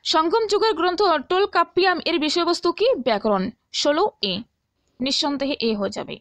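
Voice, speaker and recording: Very high-pitched woman tutor. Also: big pitch swings, fairly quick, a bit bright, dry recording. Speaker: history exam tutor. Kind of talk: instructional walkthrough, reading out questions and answers.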